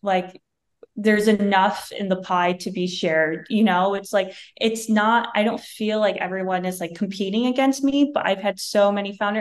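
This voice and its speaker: Woman, 30s, Vietnamese native speaker, easygoing interview guest with lots of "like" fillers, on a video call. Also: mid-range voice. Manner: relaxed, leans on "like" and "you know". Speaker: guest, chef and wine entrepreneur. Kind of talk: casual interview chat. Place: bright room at home.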